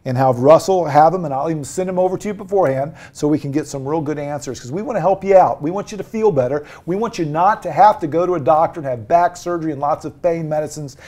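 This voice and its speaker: A man's voice: fairly high pitch, mellow. Chatty male doctor hosting a talk show. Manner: friendly, encouraging, chatty. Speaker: talk show host, physician. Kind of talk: casual interview wrap-up.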